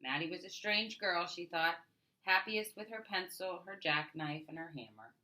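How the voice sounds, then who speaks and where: A woman narrates a children's storybook aloud in an expressive way. Her voice sits low for a woman but is bright and forceful. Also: moderate pace, low-quality recording. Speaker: storybook reader.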